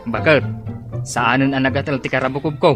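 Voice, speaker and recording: An actor playing a nosy villager, questioning and teasing in a radio drama. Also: male voice, medium pitch, steady tone, fairly quick, over loud music, close to an everyday voice. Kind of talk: Filipino radio drama acting.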